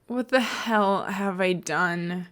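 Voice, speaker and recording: A woman's voice: mid-range voice, slow pace, a bit bright. A woman voicing an exasperated young male computer science student in a story, dry and teasing.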